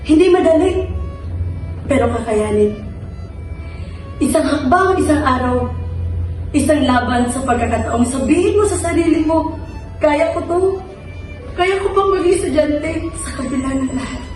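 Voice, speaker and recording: A high-pitched, anguished young female student played by a woman pours out a plaintive stage monologue. The sound is very echoey and far from the mic, with music behind it. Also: expressive, moderate pace, pauses often, a little mellow. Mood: weary, resolute. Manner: emotional, plaintive delivery.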